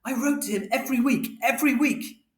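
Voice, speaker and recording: A man's voice: extremely high pitch, fairly quick, reverberant, pitched above the performer's own voice. A man voicing a distressed, indignant mother in an audiobook, with a strained, reproachful manner. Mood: hurt, angry.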